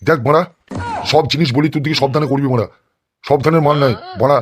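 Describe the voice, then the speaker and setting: A man acting as a crude gangster politician from a comic cartoon, bullying and lecherous. He blusters and scolds at breakneck speed in a slightly high male voice, swearing constantly over faint background noise. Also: steady tone, quick pace, lightly acted. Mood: irritated, scolding.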